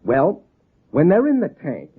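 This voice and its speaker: A man playing a patient, folksy circus seal trainer, coaxing and a little halting, in a 1930s radio serial. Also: fairly high pitch, wildly swooping pitch, husky, heavily acted. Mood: explaining. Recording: tinny, band-limited old radio recording.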